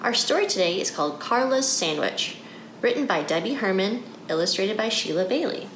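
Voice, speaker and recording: A woman reads a picture book aloud for children. Her intonation is highly animated, in a guided storytelling style aimed right at young listeners. The room is echoey, with faint noise behind her. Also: moderate pace. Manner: addresses children directly with questions.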